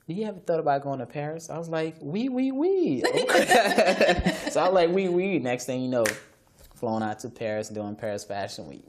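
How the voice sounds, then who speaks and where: A young man from New York of Haitian roots, chatting as a guest in an interview and telling lively stories with acted-out quotes. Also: on the high side, normal speed. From Haitian, raised in New York. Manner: animated storyteller, laughs often, acts out quotes. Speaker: guest model and stylist. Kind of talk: casual interview chat.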